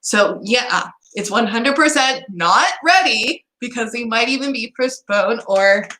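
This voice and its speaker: A woman's voice: fairly high pitch, animated, unhurried, bright, forceful tone, reverberant, rough recording. A young woman, a student vlogger who is starting to lose her voice, ranting in a rambling, frustrated way. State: losing her voice. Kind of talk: casual vlog chat.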